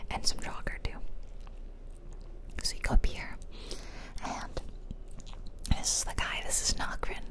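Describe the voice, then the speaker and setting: A woman speaks entirely in a whisper, as in an ASMR video. She narrates her gameplay casually, chatting and rambling along like a streamer talking to viewers.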